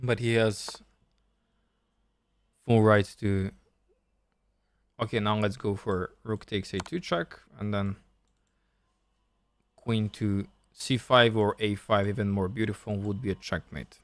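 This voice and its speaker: A man's voice: on the low side, fairly even intonation, takes it slowly, pauses often, no echo. A man from Serbia with a Serbian accent, thinking aloud and explaining step by step as he coaches on a live chess stream.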